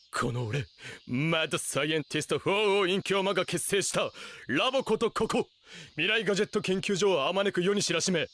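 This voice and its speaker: A man's voice: high-pitched, fairly bright tone. A man playing a pompous, theatrical young self-styled mad scientist in an anime radio drama.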